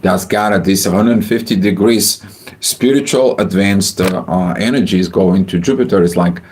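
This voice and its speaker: A man from Bulgaria, hosting a livestream astrology forecast in a halting way with many filler sounds. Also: low voice, a little mellow, slight background noise.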